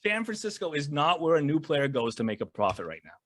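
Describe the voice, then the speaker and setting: A man's voice: a little high, big pitch swings, fast talker, fairly bright tone, dry recording, clean studio sound. A man talking as a guest on a podcast, in casual and emphatic chat that often repeats words as he starts.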